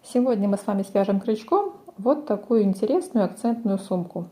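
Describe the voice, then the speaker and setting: Woman, very mellow dark timbre, mid-range pitch, tutorial host, methodical step-by-step explanation. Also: expressive, brisk. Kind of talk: step-by-step craft instruction.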